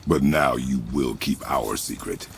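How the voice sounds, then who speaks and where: An actor playing a stern, weary, middle-aged warrior god and father in a video game, with terse, grave, commanding speech and a deep gravelly voice. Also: unhurried, soft background music, full character voice. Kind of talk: dramatic video game acting.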